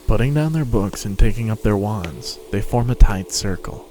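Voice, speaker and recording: A man's voice: on the low side, lively intonation, moderate pace, mellow, a little hiss or hum. A man giving a scripted, dramatic narration of a story. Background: music and sound effects.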